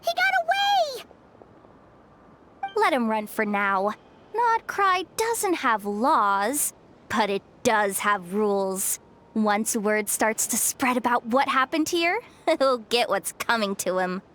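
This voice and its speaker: A woman's voice: fairly high pitch, very animated, slow, a bit bright, slight background noise, exaggerated voice. A woman voicing a plucky, cheeky young girl inventor in an anime-style video game, bold and spirited. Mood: indignant.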